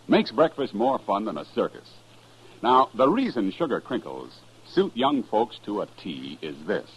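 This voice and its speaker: A man's voice: on the low side, slightly rough, low-quality recording. A man with an American accent announcing in the emphatic, salesman-like style of 1950s radio. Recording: old radio recording with faint music.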